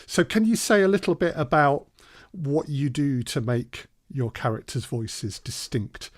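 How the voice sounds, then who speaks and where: A man's voice: fairly high pitch, animated, unhurried, no room sound, clean studio sound. A man co-hosting a conversational workshop, talking discursively and building up to his questions. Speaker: workshop co-host and interviewer.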